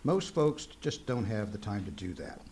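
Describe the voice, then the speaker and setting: An actor playing a friendly 1940s radio pitchman, reading a scripted advertisement in a folksy, conversational, salesmanlike way. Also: sing-song, normal speed, mellow, a little hiss or hum. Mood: folksy, upbeat.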